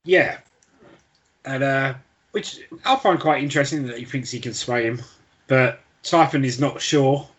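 A man's voice: mid-range voice, unhurried, muffled recording. A man co-hosting a podcast, casually talking through a book with another person. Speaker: podcast co-host.

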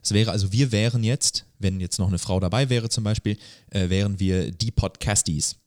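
Casual male podcast host, low-pitched, rambling and colloquial, recorded dry. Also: moderate pace, a little mellow, no room sound. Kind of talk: casual conversational podcast chat.

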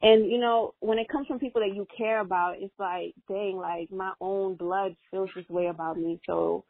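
A young woman whose first language is Spanish talks through her story in an earnest, meandering way, leaning on fillers. Her voice sits at a middle pitch and comes over a narrow, tinny, phone-like line with no room sound at all. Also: steady tone, normal speed, vocal fry, dry recording. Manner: earnest, rambling, many "like" fillers. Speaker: interview guest, model. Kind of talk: casual interview. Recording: dry, tinny phone-like audio.

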